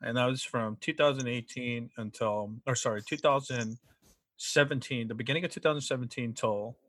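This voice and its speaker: A young man in casual chat, speaking haltingly with many restarts and frequent throat clearing. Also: medium pitch, steady tone, a bit bright, dry recording.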